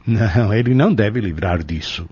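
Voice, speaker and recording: An actor playing a wise pharmacist and spiritual adviser in a Brazilian radio drama, firm, measured and didactic. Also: male voice, fairly low pitch, expressive, darkish tone, breathy edge, no echo. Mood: firm, assured. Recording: dull audio with music.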